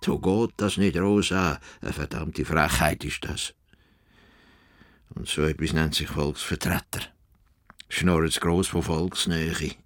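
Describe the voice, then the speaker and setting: A man's voice: extremely low pitch, fairly even intonation, takes it slowly, no room sound, heavily acted. A man acting an indignant, stubborn old farmer in a radio play, with a Swiss German accent and a grumbling, emphatic manner. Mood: grumbling, bitter. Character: stubborn, indignant old male Swiss farmer and self-appointed world reformer.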